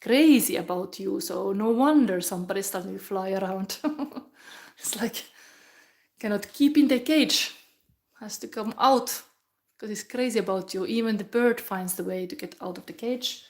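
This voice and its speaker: A woman from Finland with a Finnish accent, giving a rambling, musing tarot reading in English that often drops to a soft whisper. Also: medium pitch, measured pace. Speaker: tarot reader. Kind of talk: live pick-a-pile tarot reading chat.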